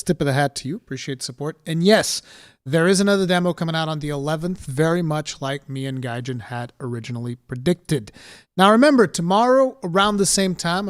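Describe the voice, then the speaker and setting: Young man from Portugal, livestream host, excited casual chatter, close dry mic. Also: on the high side, dry recording. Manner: excited, chatty, thanks viewers with a set phrase. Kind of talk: casual live commentary.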